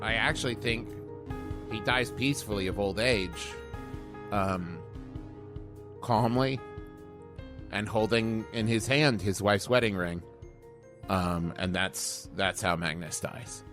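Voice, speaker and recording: A man telling his character's story as a tabletop game player, halting and tender. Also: fairly low pitch. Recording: prominent piano music underneath.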